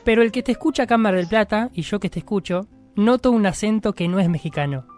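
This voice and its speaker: A man's voice: high voice, quick pace, darkish tone, faint music behind. A man with a Rioplatense Argentine accent, hosting a radio interview and prompting his guest with friendly questions. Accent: Rioplatense Argentine Spanish. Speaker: radio host. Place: radio studio in Mar del Plata.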